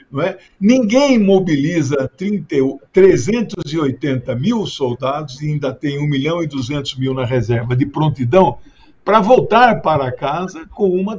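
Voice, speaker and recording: A husky Brazilian man in his sixties talks politics over a dull, lo-fi video call. His voice sits on the high side, and he argues emphatically as a guest analyst. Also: darkish tone. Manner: emphatic, with frequent tag questions. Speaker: political commentator, sociologist guest. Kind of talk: opinionated political analysis in an interview. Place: book-lined home study, indoors. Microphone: desk microphone on a video call.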